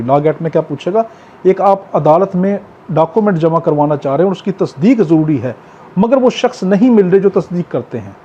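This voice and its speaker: Male lecturer, Pakistani accent, raised pitch, instructive question-and-answer delivery, on dull lo-fi audio. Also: warm tone, slightly rough. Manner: didactic, asks questions and answers them himself; repeats points for emphasis. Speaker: law lecturer. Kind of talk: exam-prep law lecture.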